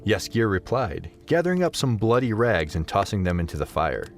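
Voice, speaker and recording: Deep, animated male story narrator. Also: moderate pace, soft background music. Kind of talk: expressive scripted story narration.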